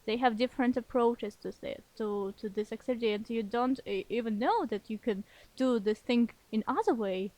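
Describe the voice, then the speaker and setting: A woman with a fairly high voice, speaking as a learned language. She talks casually as a podcast guest, halting often to restart a thought. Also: darkish tone, rough recording. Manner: hesitant, many pauses and restarts, laughs lightly. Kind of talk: casual interview talk.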